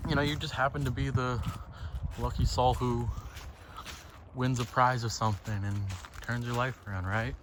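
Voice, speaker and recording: A man thinking aloud in a halting, casual, reflective monologue while walking outside on a trail. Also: mid-range voice, steady tone. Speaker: solo vlogger. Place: outdoors, walking on a trail by a lake. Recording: outdoor ambient noise.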